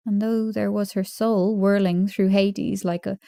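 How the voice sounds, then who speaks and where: A woman reciting poetry in a measured, deliberate way, pausing between short phrases. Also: medium pitch, steady tone, vocal fry, mellow, no echo, studio quality. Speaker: poetry reader.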